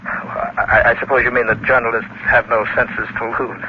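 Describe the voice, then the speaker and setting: A man's voice: medium pitch, little pitch movement, brisk, lightly acted. A man playing an eager, hesitant freelance journalist in an old radio drama, polite and deferential, stammering as he talks. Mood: politely eager. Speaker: narrator who also voices characters. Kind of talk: 1940s radio drama acting. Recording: muffled, noisy old radio recording.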